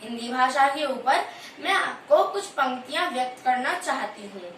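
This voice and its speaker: A woman's voice: sits high, expressive, normal speed, fairly bright tone. A woman playing a female student in an amateur skit, reciting her lines in an earnest, declamatory way. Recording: echoey room, distant mic, faint hiss.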